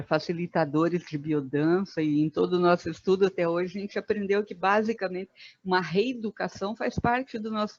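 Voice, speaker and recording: Slow, thoughtful woman in her fifties. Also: lowish voice, no echo, muffled recording. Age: 50s. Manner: thoughtful, many fillers and pauses. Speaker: guest, Biodanza facilitator. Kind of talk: reflective podcast conversation.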